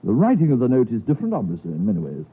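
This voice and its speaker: A shrewd gentleman who speaks his mind in a 1950s radio play. The voice is husky and very lively in pitch, with articulate, emphatic phrasing. The broadcast sounds old and boxed-in. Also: very fast, phone-quality sound, heavily acted. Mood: accusing, insistent. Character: probing gentleman friend of the doctor, shrewd and direct. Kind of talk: 1950s radio drama acting.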